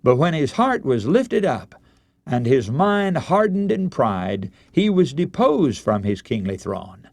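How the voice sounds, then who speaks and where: A man preaching a radio sermon, telling a Bible story in solemn, deliberate oratory with dramatic emphasis. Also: a little high, sing-song, darkish tone, dry recording.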